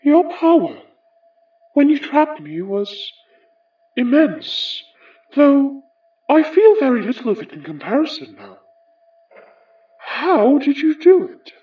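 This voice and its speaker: Bitter aristocratic British spectre, horror podcast. High register, very animated pitch, deliberate formal diction. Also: female voice, far from the mic, phone-quality sound, exaggerated voice. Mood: cold, measured. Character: haughty, bitter aristocratic ghost of an Edwardian occultist. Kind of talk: horror audio drama acting.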